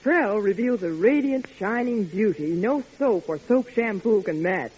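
A man's voice: sits high, expressive, unhurried, darkish tone, slightly rough. A man delivering scripted 1940s radio commercial copy as a co-announcer. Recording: muffled 1940s radio recording.

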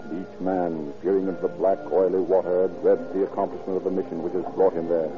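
Muffled old radio show, music under a man acting a low grim narrator, poetic and theatrical crime tale stuff. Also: flat delivery, a little fast, natural voice. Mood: ominous. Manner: florid, ominous, theatrical delivery.

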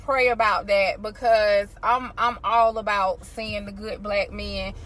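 Amid clear vehicle noise, a woman hosts a conversational interview from her car. She talks in an informal, streetwise way, repeating words for emphasis as she goes. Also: medium pitch, steady tone, a little slow, fairly bright tone. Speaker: podcast host and interviewer. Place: inside a car while driving. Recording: noisy in-car recording with road noise. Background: vehicle noise, GPS navigation voice.

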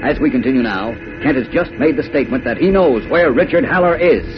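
A man's voice: mid-range voice, fairly quick. An American man narrating a radio serial in dramatic 1940s style. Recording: dull old radio broadcast. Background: dramatic music.

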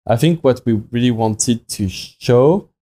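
A man's voice: mid-range voice, a little slow, dark tone. A young man from France with a French accent, telling stories casually and informally as an interview guest, often acting out his own past thoughts. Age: young adult. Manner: informal, frequent self-quoting in anecdotes. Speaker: podcast guest, watch company founder. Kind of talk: casual conversational storytelling.

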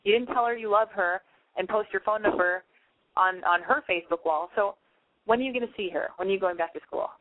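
A woman's voice: mid-range voice, fairly quick, no room sound, phone-quality sound. A woman hosting a call-in advice show, giving chatty, emphatic big-sister advice. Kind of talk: casual advice call-in chat.

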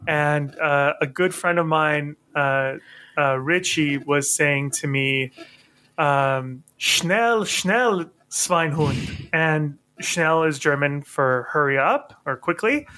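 A man's voice: a little high, slow, bright, forceful tone, clear, resonant tone. A man hosting a podcast, telling rambling self-mocking stories in casual chat and laughing often. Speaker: podcast host.

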